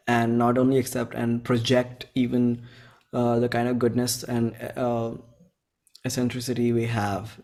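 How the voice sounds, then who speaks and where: A man in his twenties with an Indian accent, chatting casually in an interview as a musician, thinking aloud and often correcting himself. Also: mid-range voice. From central India. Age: 20s. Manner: informal, halting, thinks aloud with frequent self-corrections. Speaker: indie musician being interviewed.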